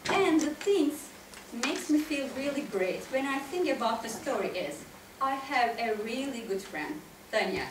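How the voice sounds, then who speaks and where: A woman in her thirties with a Turkish accent giving an expressive, prepared storytelling speech to listeners. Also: sits high, a little slow, distant mic. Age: 30s. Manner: expressive, addresses listeners directly. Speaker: club speaker, tango dancer. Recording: echoey room from afar, faint noise.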